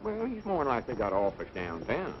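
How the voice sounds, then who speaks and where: A man's voice: mid-range voice, huge pitch swings, a little fast, a little hiss or hum. An actor playing a self-important small-town man with a rural Southern American accent, folksy and scolding, in an old-time radio comedy. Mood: know-it-all. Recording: dull old radio recording.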